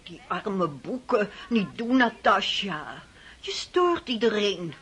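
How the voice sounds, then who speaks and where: A woman's voice: mid-range voice, big pitch swings, slow. An actress in a Dutch radio play, playing an exasperated middle-aged mother who is reproving yet caring. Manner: reproving, weary. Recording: faintly noisy old radio recording.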